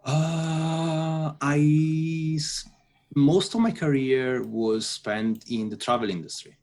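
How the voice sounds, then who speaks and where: A man from northern Italy, answering interview questions in a relaxed, hesitant way with fillers. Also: a little high, slow. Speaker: guest, software architect.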